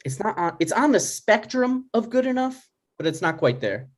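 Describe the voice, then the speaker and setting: A man acts out an anxious adult son who wants approval, with a very animated, swinging pitch. He sounds earnest and exasperated, halting as he pleads, in an informal comedy read. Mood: pleading. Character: anxious, needy adult son seeking his dad's approval. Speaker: writer playing himself and reading stage directions.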